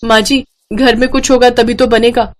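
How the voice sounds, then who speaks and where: A woman voicing a resentful, sharp-tongued village daughter-in-law in a story, blunt and complaining. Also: on the high side, little pitch movement, normal speed, pitched above the performer's own voice, close to an everyday voice. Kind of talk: acted Hindi moral story dialogue.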